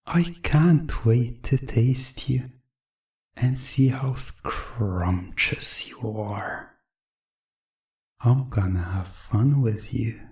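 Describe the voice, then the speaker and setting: Man as a predatory, amused vampire for ASMR roleplay: very slow, mellow, hushed and menacing. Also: medium pitch. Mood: hungry delight. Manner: hushed, taunting, deliberate.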